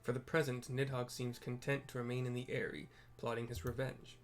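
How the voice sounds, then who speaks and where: A formal fantasy character, read out by a male player in a medium-pitched voice. The wording is archaic and polite, delivered as video game quest dialogue. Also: flat delivery, a little fast, close to an everyday voice. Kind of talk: reading game dialogue aloud.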